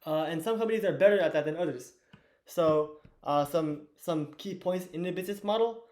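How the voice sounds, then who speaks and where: A teenage boy with a hoarse, fading voice, teaching an investing lesson at a whiteboard in a loose, chatty way with lots of fillers and restarts. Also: a little high, brisk, darkish tone. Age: teens. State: hoarse, losing his voice. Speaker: student teacher of an investing course.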